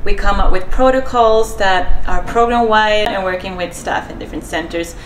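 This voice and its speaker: A woman's voice: mid-range voice, moderate pace, echoey room, slight background noise, poor audio. A woman in her forties from Sweden, with a Swedish accent, introducing herself and her job as a student services coordinator. She talks to the camera in a friendly, matter-of-fact way, with a dry streak of sarcasm. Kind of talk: scripted staff introduction to camera. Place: small indoor room, seated on a sofa.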